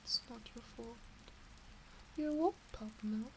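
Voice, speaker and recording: A woman playing a teasing, doting, motherly kitsune witch in an intimate ASMR roleplay, cooing in a breathy, whispery way. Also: a little high, a little slow, a little hiss or hum, poor audio. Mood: doting, playful.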